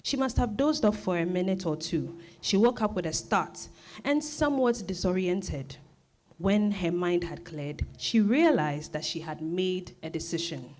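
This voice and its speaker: A woman's voice: lowish voice, lively intonation, measured pace, a little mellow. A woman narrating a dramatized novel reading in a scripted storytelling style.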